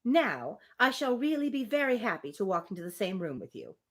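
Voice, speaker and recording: A woman's voice: medium pitch, fairly quick. A woman hosting a live reading of a classic novel, reading expressively with casual, amused asides. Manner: expressive reading, breaks off for informal amused commentary. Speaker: host reading a novel aloud on a livestream.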